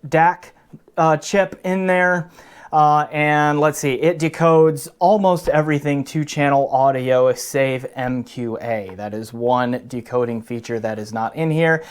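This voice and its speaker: A man's voice: mid-range voice, smooth. Casual man reviewing gear on camera. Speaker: audio gear reviewer and channel host.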